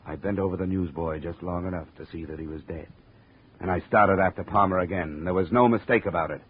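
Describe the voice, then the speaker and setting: Hard-boiled American insurance detective, low and terse, old radio drama on a muffled narrow-band recording. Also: male voice, a little fast, breathy edge. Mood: grim narration. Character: tough wry insurance investigator, hard-boiled male detective. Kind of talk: 1950s radio drama acting with first-person narration.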